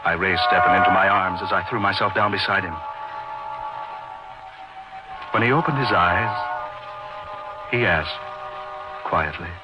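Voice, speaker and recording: An actor playing a haunted, intense man recounting his strange past in an old radio horror drama, with florid theatrical delivery and dramatic pauses. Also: low-pitched, close to an everyday voice. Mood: hushed, intense. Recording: dull old radio recording with music behind. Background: dramatic music.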